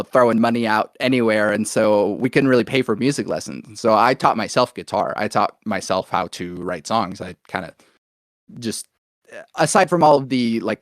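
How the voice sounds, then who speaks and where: A man with an American accent telling his own story in a casual, rambling interview style, as if thinking out loud. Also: fairly low pitch, no echo, studio quality. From Oklahoma, United States, born in Venezuela. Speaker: podcast guest, musician and producer.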